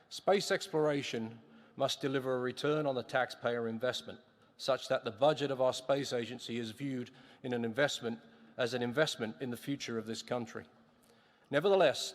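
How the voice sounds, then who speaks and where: A man with a Northern Indiana accent delivers measured policy remarks as a lunar scientist. His mid-range voice carries a long reverb from a large museum hall. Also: medium pitch. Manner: measured reading with self-deprecating asides.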